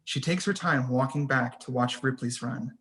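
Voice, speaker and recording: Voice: medium pitch, normal speed, fairly bright tone. A person reading scene headings and stage directions as scripted narration for a screenplay table read.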